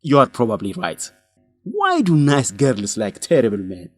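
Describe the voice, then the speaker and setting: A man's voice: medium pitch, huge pitch swings, unhurried, no room sound, faint music behind. A man acting as a determined, indignant young student reporter in a radio drama, blunt and emphatic. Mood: indignant, frank. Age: young adult. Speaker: actor in an educational radio drama.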